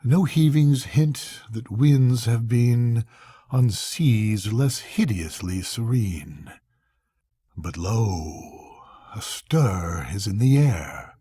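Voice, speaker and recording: An older man reading poetry aloud as a bedtime story, in a solemn, measured, dramatic manner with pauses between phrases. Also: mid-range voice, lively intonation, mellow, breathy edge, dry recording, clean studio sound. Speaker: grandfather reading bedtime poems to grandchildren.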